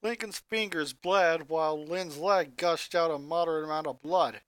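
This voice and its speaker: Bright, high-voiced male story reader. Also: normal speed, no echo. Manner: dramatic, building intensity. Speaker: fanfic reader. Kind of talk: dramatic story reading.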